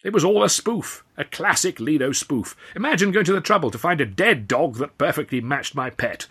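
A man's voice: on the high side, animated, fairly quick, fairly bright tone, husky, voice pushed up, full character voice. A man voicing a boastful, theatrical old showman telling emphatic anecdotes in an audiobook. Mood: aggrieved, animated.

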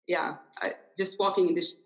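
A woman's voice: on the low side, flat delivery, fairly quick, a bit bright. A young woman talking as a guest in a casual conversation, reflective and hesitant with frequent laughter.

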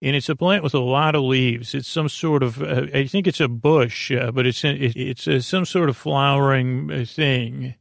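Male sleep podcast host, gravelly creak, close dry recording, halting whimsical meandering monologue. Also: mid-range voice, fairly even intonation, dry recording. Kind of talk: rambling bedtime podcast monologue.

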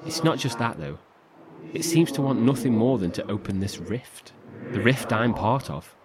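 A man's voice: mid-range voice, lively intonation, moderate pace, lightly acted. A man playing someone trapped inside a rift who talks anxiously and earnestly about his brother. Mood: worried. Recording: noisy recording.

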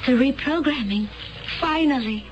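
Actress as an intense high-voiced alien woman, muffled radio. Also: moderate pace, natural voice. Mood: calm, resolved. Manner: intense, earnest, formal phrasing. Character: fervent, troubled young woman from another planet, programmed to kill. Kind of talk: 1970s radio drama acting. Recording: muffled, noisy 1970s radio broadcast.

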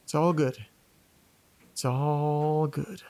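A man's voice: fairly high pitch, steady tone, extremely slow, darkish tone. A man playing an exasperated young investigator in an audio drama, talking casually to himself and reading aloud. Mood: annoyed, self-soothing. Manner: casual, sweary, muttering asides.

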